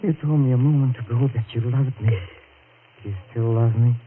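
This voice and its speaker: An actor playing an anguished, self-loathing man guilty of murder, halting and melodramatic, in the style of 1940s radio horror. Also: medium pitch, fairly even intonation, lightly acted. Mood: haunted, confessing. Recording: tinny, noisy vintage radio recording.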